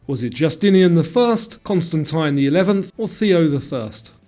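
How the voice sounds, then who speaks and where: A man with a somewhat high voice narrates a scripted quiz. His delivery is measured and presenterly, and music plays behind him. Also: animated, warm tone. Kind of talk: scripted quiz narration, multiple-choice questions.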